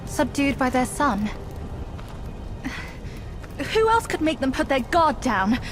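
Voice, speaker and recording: High-voiced, earnest young fox princess. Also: female voice, sing-song, takes it slowly, full character voice. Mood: sorrowful, earnest. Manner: dramatic, imploring. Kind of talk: video game cutscene acting. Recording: music behind the voice.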